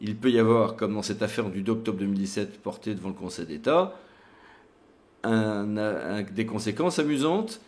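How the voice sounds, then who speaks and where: A man's voice: on the low side, animated, moderate pace, darkish tone. Man presenting an explanatory legal tutorial.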